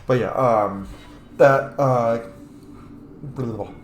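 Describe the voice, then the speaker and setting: A man's voice: mid-range voice, takes it slowly, echoey room, slight background noise. A man giving casual, chatty, rambling commentary as a video game streamer. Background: game music.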